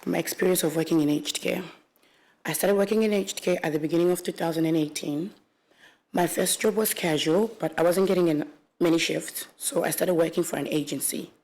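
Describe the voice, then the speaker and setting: A woman in her twenties from Congo, a witness who reads her prepared statement aloud at a public hearing in a steady, careful way, with the odd restart. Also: on the low side, brisk, low-quality recording. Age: 20s. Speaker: witness, aged care worker.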